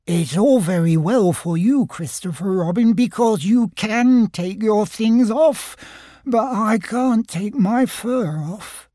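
Guy doing a storybook teddy bear, slow and mellow, kinda plaintive, mild little fella. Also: sits high, animated, dry recording, clean studio sound, pitched above the performer's own voice. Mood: mildly plaintive. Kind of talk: storybook character voice.

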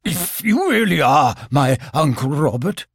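Grumpy storybook owl, played by a male reader: pompous, blustering, indignant, with animated swings of pitch. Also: measured pace, husky, dry recording, studio quality, full character voice. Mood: nervous. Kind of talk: storybook character voice.

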